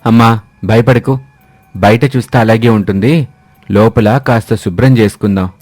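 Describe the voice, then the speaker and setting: A man voicing a calm adult son in a family cartoon, reassuring and explaining things. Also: lowish voice, normal speed, no room sound, soft background music, natural voice. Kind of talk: animated moral story dialogue.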